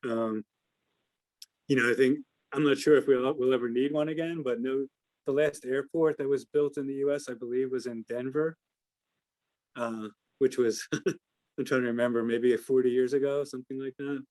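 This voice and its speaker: A man's voice: mid-range voice, fairly even intonation, moderate pace, a little mellow, no room sound. An American man giving informal analysis as an investment adviser answering questions on a call, hesitant and hedging as he thinks aloud.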